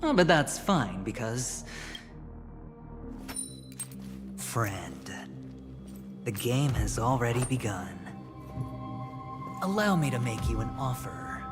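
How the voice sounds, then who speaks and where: A smug, menacing dealmaker from a video game, who savors his words slowly. His suave, teasing delivery rises and dips dramatically, and it rings in a long reverb. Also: medium pitch, sing-song, music in the background. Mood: playful, menacing. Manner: theatrical, suave, taunting, drawn-out phrasing.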